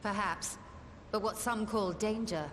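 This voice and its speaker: A woman's voice: medium pitch, unhurried, natural voice. A woman playing a scholarly, confident mage in a video game, explaining things in formal, articulate diction. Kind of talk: scripted video game dialogue.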